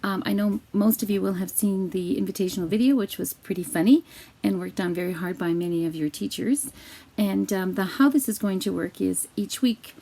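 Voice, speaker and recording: A woman in her fifties speaks informally to students from a kitchen. Her voice is mellow, with a gravelly fry running through it. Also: medium pitch, fairly quick. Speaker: school staff leader addressing students. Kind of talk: informal welcome message. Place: home kitchen.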